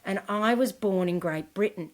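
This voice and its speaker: A woman's voice: medium pitch, normal speed. A woman from Scotland, an endurance coach, chatting as a podcast guest with lots of fillers and restarts. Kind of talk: casual interview chat.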